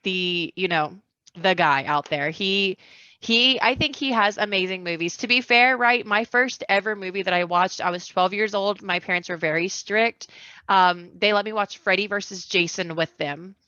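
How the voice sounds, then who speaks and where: A woman with a bright, forceful voice chats as a podcast guest. She rambles informally, and the audio is dry and somewhat dull. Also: mid-range voice, moderate pace, no room sound. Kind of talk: casual podcast chat.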